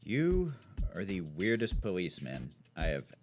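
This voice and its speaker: A man as a low-voiced, callous tycoon. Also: wildly swooping pitch, measured pace, over music, full character voice. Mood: irritated. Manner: curt, dismissive. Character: callous, self-interested, irritable male business magnate. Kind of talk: audio drama acting.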